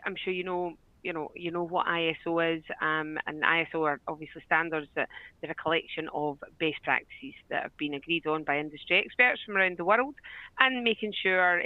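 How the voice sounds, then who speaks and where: A woman's voice: low-pitched, no echo. A woman hosting an online business webinar, chatty and full of fillers over a video call. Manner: chatty, many fillers and restarts. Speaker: webinar host. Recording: tinny, dry phone-like line.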